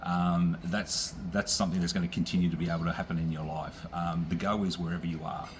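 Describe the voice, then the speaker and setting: A man with an Australian accent speaking unscripted as a visiting pastor, in a halting, plain, reassuring way to a church. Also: deep voice, fairly even intonation, slight background noise, poor audio. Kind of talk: unscripted encouragement to a church congregation.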